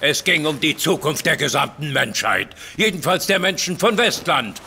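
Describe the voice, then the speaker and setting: Blustering general, audio drama, bright lively voice, slightly husky. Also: male voice, a little high, moderate pace, slight background noise, exaggerated voice. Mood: indignant. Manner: pompous, stumbles over words. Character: blustering militaristic male army general. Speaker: voice actor.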